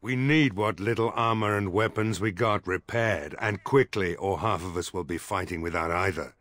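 An actor playing a gruff, weary, skeptical village mayor in a fantasy video game, blunt and grumbling, with a husky voice. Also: male voice, sits low, moderate pace, a bit bright, no room sound. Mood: worried, exasperated. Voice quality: gravelly, husky.

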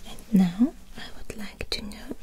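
A woman whispering breathily in an ASMR doctor roleplay, gently and softly prompting with questions. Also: mid-range voice, unhurried, slight background noise. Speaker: ASMR performer playing a doctor giving an exam. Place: small indoor room with curtains.

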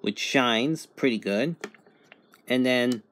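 A man giving a casual unboxing and review narration in a halting, methodical way, in short phrases. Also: mid-range voice, fairly bright tone, a little hiss or hum. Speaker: product reviewer.

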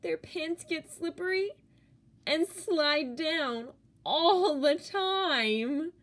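A woman with a fairly high voice reads a children's picture book aloud at a very slow pace. She is expressive and deliberate, leaning theatrically on key words as a teacher does for young listeners.

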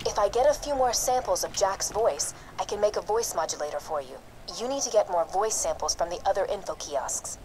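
A woman's voice: mid-range voice, lively intonation, a little fast, hardly any pauses, noisy background, close to an everyday voice. An actress playing a composed young female mission guide in a video game, instructive with dry wit.

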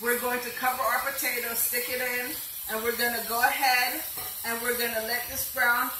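A middle-aged woman with a fairly high voice talks through a home cooking demo step by step. She is recorded from across a roomy, echoing kitchen by a distant camera. A loud hiss and a sizzling skillet run under her voice. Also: flat delivery, moderate pace. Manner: chatty, narrates each cooking step. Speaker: home cooking show host.

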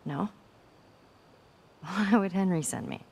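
A woman's voice: lowish voice, slow, creaky, darkish tone, breathy edge, full character voice. An actress with an American accent playing a spirited, wry, caring teenage girl in a video game drama. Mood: gentle, candid. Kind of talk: naturalistic video game cutscene acting.

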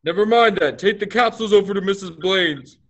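Old druggist for radio play, young male actor: gruff, loud, barking, short-tempered, pitched up in irritation. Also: fairly quick. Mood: angry, barking.

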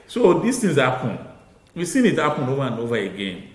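A man's voice: mid-range voice, wildly swooping pitch, brisk, roomy sound. A middle-aged Nigerian man giving opinions as a football pundit in a studio, talking emphatically and repeating his key points. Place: small TV studio with glass-block wall. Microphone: lapel.

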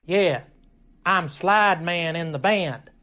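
A man's voice: a little high, takes it slowly, bright, forceful tone. Man as a male college bandsman, easygoing, stubborn, young student, radio comedy. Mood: matter-of-fact. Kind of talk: old-time radio comedy acting.

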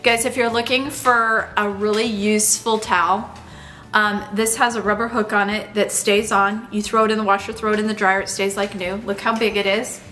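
A woman's voice: medium pitch, level tone, normal speed, sharp tone, faint music behind. A woman in her fifties talking casually and eagerly in a cooking vlog from her large home kitchen, with plenty of fillers and restarts. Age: 50s. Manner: chatty, enthusiastic, many fillers and restarts. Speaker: lifestyle vlogger. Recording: echoey kitchen room.

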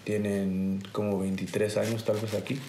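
A man's voice: fairly low pitch, flat delivery, moderate pace, mellow, roomy sound, a little hiss or hum. A man in his twenties, born in the US, telling stories from childhood in a conversational way full of fillers. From United States. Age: 20s. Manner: conversational, many "este" fillers. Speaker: guest. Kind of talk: casual storytelling. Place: garage set with couch, indoors.

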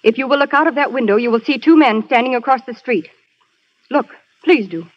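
A woman's voice: on the high side, brisk, natural voice. A woman acts a secretive spy on the run in an old radio play. She speaks with earnest, anxious urgency, and the recording is dull and vintage. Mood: grave, insistent. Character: frightened, secretive female professional spy on the run. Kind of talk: 1940s radio acting.

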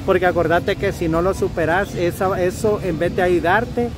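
A man interviewing someone outdoors in a city plaza, explaining things calmly and giving advice step by step. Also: sits high, moderate pace, background noise. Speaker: street interviewer. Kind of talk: advising interview talk.

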